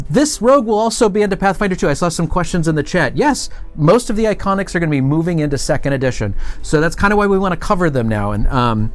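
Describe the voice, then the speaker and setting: Man hosting a casual, chatty studio show. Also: high-pitched. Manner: chatty, informal. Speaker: host. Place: indoor studio set with couch and table.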